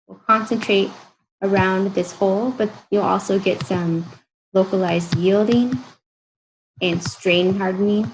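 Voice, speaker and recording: Tired, croaky woman lecturing. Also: medium pitch, a little hiss or hum, poor audio. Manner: explains step by step in a relaxed, informal way. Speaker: engineering instructor. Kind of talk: recorded lecture over slides.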